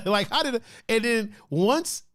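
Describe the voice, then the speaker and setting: A man's voice: extremely high pitch, lively intonation, takes it slowly, fairly bright tone, no echo, clean studio sound. A man co-hosting a casual podcast from home, joking, laughing often and reading out the live chat. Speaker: podcast co-host.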